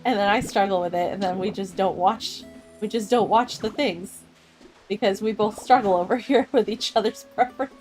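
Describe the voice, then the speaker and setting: A woman's voice: medium pitch, moderate pace, a little mellow, soft background music. A young adult woman streaming a game, chatting casually with viewers, rambling from thought to thought and laughing often. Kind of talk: casual gameplay chat with viewers.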